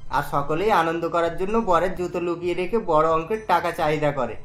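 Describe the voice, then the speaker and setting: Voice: mid-range voice, brisk, faint music behind, close to an everyday voice. An actor playing a sensible, principled young bridegroom in a cartoon, explaining things earnestly. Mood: earnest, calm.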